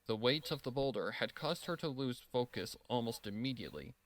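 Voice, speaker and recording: A man's voice: mid-range voice, a little fast, low-quality recording. A man reading a scripted story as narrator, with a solemn, dramatic delivery. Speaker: narrator reading fan fiction.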